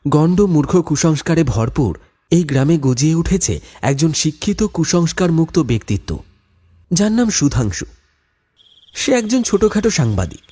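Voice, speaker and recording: A man's voice: on the high side, big pitch swings, moderate pace, dark tone, breathy edge. A man narrating a scripted horror story in a theatrical, suspenseful way.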